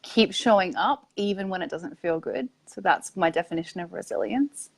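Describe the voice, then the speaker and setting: A woman's voice: lowish voice, animated, moderate pace, gravelly. A woman guest on a casual online interview, speaking as a spiritual coach, blunt and upbeat. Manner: emphatic, blunt, upbeat, laughs easily.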